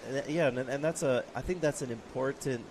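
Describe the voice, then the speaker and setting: A man's voice: medium pitch, brisk, background noise. A man commentating on a surf contest, reflective and talkative, a father. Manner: reflective, storytelling. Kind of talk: live sports commentary.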